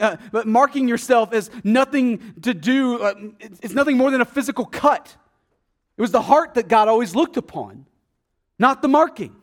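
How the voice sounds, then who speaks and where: Male pastor, high voice, casual sermon delivery with dramatic emphasis and asides. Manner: conversational, asks the congregation rhetorical questions; dramatic swings in loudness and pitch for emphasis.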